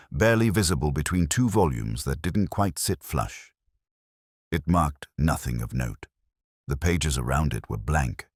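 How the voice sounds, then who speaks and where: Male narrator for detective fiction: very deep, gravelly, brooding and measured, recorded close and dry. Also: no room sound. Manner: measured, brooding, literary delivery. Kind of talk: scripted first-person mystery narration.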